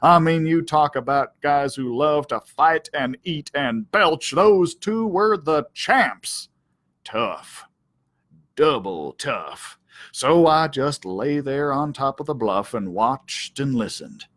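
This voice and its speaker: A man reading a children's story aloud as a library storyteller, dramatic and deliberate in his delivery. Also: a little high, lively intonation, fairly bright tone. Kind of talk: expressive children's audiobook-style read-aloud.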